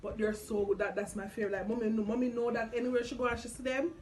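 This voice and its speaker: A woman's voice: on the high side, flat delivery, racing, a little mellow. A woman with a Jamaican accent hosting a casual food vlog, chatting in Patois with family and addressing viewers. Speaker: vlog host. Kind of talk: casual mukbang chat to camera with family. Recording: music playing behind the voice. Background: clearly audible music.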